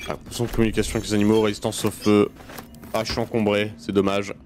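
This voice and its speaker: A man's voice: on the low side, little pitch movement, fast talker, a little mellow, a little hiss or hum. A man giving casual let's play commentary, muttering to himself informally and swearing freely. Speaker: gaming video host. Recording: game music behind the voice. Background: video game music.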